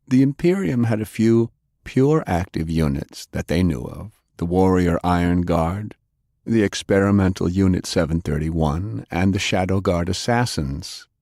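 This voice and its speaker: Deep, gravelly male audiobook narrator. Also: animated, mellow, no room sound, studio quality. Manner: measured, dramatic. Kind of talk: scripted fiction narration.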